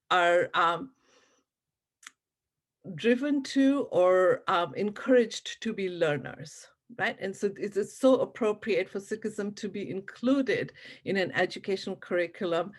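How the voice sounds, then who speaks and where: A woman from India whose first language is Punjabi presents to teachers over a video call. Her voice sits a little low, and her delivery is earnest and explanatory, full of ums and "right?" checks. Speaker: educator presenting on Sikhism.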